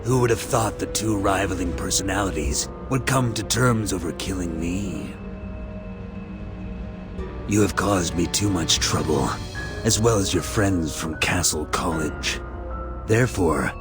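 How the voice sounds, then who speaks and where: An actor playing a grandiose, cold mad-scientist villain in a video game, savoring each phrase of his monologue with theatrical swells. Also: sits low. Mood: cold, menacing. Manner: slow, deliberate, savoring monologue with dramatic swells. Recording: echoey, music underneath.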